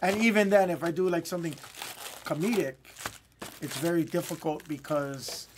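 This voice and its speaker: A man's voice: fairly high pitch, moderate pace, noisy background. A man with a Hawaiian accent chatting casually as a livestream host. He rambles, repeats his words and laughs often. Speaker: livestream host of card breaks.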